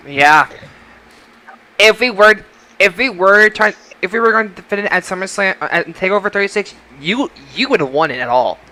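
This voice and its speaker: Bright-voiced man hosting a wrestling chat. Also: high-pitched, expressive, moderate pace. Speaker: podcast host. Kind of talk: casual wrestling results chat.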